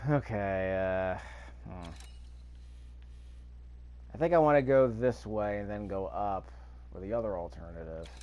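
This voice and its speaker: Low-voiced man muttering through a game. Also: measured pace, long pauses, a little mellow. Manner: thinking aloud, mildly grumbling, rhetorical questions. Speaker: video game player narrating a playthrough. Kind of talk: casual gameplay commentary.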